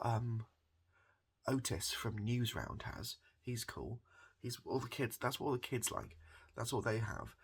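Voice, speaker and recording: British man, softly spoken, husky, swooping intonation, improvised ASMR barber roleplay, deadpan, rambling, hesitant, sweary. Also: fairly low pitch. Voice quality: soft-spoken, breathy. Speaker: ASMR comedy performer.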